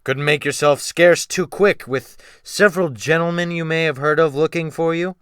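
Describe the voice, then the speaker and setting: A man's voice: on the high side, steady tone, normal speed, a bit bright, clear, resonant tone, dry recording, clean studio sound, pitched above the performer's own voice, lightly acted. A man voicing a brutal, commanding ship's captain who snaps orders with mocking menace in a dramatic audiobook. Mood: harsh, mocking. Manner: snapping, barking orders, thundering. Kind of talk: dramatic audiobook character reading.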